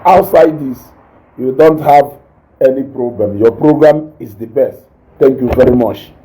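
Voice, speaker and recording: A man with a Nigerian accent and a naturally loud voice, hosting a casual live stream, reading viewer comments and mixing English with Igbo while he eats. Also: mid-range voice, a little slow, low-quality recording. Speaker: online show host reading viewer comments.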